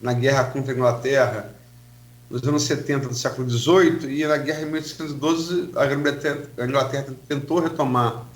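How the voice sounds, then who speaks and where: A man's voice: medium pitch, steady tone, a little fast. A man with a Brazilian Portuguese accent hosting a radio interview, asking his questions courteously in a conversational way. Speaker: radio host.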